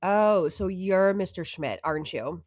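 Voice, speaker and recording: A woman acts out a laid-back young receptionist for an audio drama. Her pitch sits on the low side, and she talks casually and noncommittally, as if little matters to her. The sound is dry. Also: measured pace, a little mellow, no echo, muffled recording. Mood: casual, polite.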